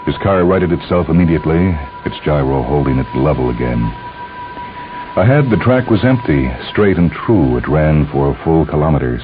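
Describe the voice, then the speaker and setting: Very deep-voiced male story narrator, dull recording. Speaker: narrator reading fiction. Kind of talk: scripted dramatic audiobook narration. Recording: dull, band-limited lo-fi audio. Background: clear background music.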